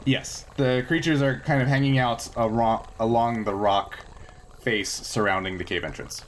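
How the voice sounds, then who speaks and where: A man's voice: medium pitch, moderate pace, slight background noise, rough recording. A man running a tabletop game as game master, explaining things in a hesitant, improvised way.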